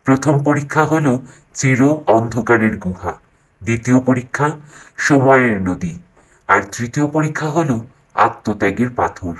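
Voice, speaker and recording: A mysterious yogi sage, played by a man, speaks gravely in a husky, mid-pitched male voice. He has the solemn authority of a cartoon fairytale mystic. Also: animated, a little slow.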